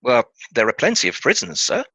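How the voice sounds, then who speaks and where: Man as formal charity gentleman, polite, earnest, crisp bright tone, dry sound, radio drama. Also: mid-range voice, big pitch swings, fairly quick, dry recording, exaggerated voice. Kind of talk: radio play acting.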